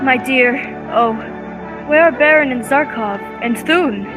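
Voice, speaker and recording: A woman's voice: high-pitched, a little slow. A teenage female student actor playing a devoted, defiant young damsel in distress in melodramatic radio serial style. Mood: tender, adoring. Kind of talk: old-time radio serial acting. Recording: music behind the voice.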